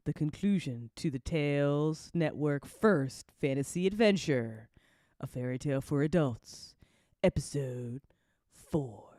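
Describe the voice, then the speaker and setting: A woman hosting a casual livestream, effusive and excitable, repeating phrases and swearing freely. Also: low voice, unhurried, no echo, clean studio sound. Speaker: host and voice actor.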